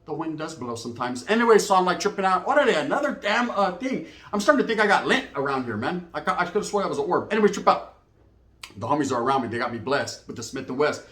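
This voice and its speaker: A man's voice: a little high, fast, echoey room. An American man telling a story in a casual, slangy, comedic way, acting out both sides of conversations. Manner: animated raconteur, acts out both sides of conversations.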